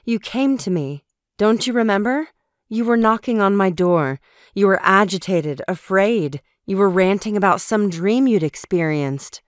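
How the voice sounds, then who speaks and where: A man voicing a calm woman friend who speaks in a gentle, steady way. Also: high-pitched, unhurried, smooth, no echo, clean studio sound, pitched above the performer's own voice.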